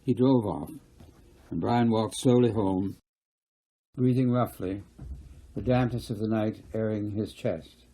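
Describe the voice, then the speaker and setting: A man's voice: fairly low pitch, unhurried, long pauses, dark tone, poor audio. A man narrating his own novel in a storybook reading style.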